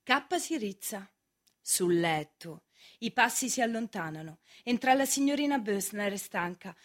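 A woman's voice: medium pitch, lively intonation, unhurried. A woman narrator reading scripted stage directions for a radio drama.

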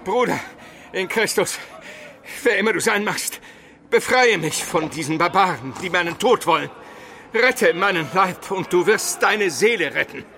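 A man's voice: high voice, expressive, unhurried, pushed and bright, slight background noise, heavily acted. A man playing an educated, pious Byzantine minister on the run, solemn and formal, in a German radio play. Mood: pleading, solemn.

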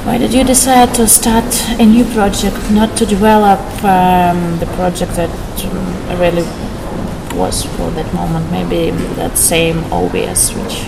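A woman working as a live interpreter, relaying an interview in a halting way as she pieces sentences together. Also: gravelly. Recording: loud crowd noise, traffic-like rumble. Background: loud crowd.

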